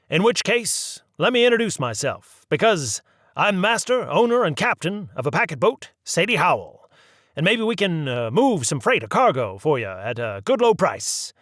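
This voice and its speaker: A rough, bitter old riverboat captain with a twang, as a male reader puts him on. He talks loud and folksy in a raised voice, close and dry. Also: a little high, no room sound, voice pushed up.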